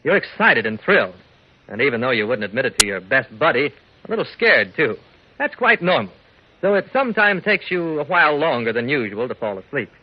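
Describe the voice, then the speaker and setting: A man reading old-fashioned scripted narration in a measured storytelling manner. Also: mid-range voice, sing-song, slight background noise. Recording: dull lo-fi old radio recording.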